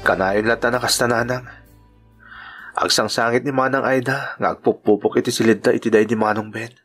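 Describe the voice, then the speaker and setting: A man acts a dutiful young uncle in an Ilocano drama. His voice sits at a middle pitch, with an earnest, soft-hearted delivery and an Ilocano accent. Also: fairly even intonation, brisk, soft background music, lightly acted. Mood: earnest, sad. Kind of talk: Ilocano audio drama acting.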